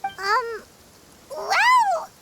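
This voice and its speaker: A woman voicing a tiny, childlike, excitable fairy companion in an anime video game, with a squeaky voice. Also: drawn-out words, a little hiss or hum, heavily acted. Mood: hesitant. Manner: refers to self in third person, exclamatory. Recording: loud game sound effects behind.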